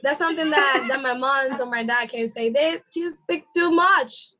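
A woman with a Dominican accent whose first language is Spanish. She speaks in a very high voice. She talks like a guest on a casual podcast, chatty and laughing often. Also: moderate pace, sharp tone. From Dominican Republic.

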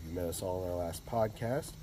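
A man hosting a laid-back podcast, his voice a bit low and thick with a head cold. He rambles easily with plenty of fillers, and there is a faint hum of noise behind him. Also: brisk, dark tone, poor audio. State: congested from a cold. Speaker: podcast host. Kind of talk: casual podcast chat.

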